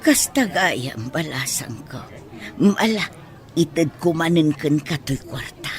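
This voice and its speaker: A woman playing a sly, flirtatious, scheming market vendor in an Ilocano radio drama, with an expressive, drawn-out delivery. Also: sits low. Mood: curious, kindly.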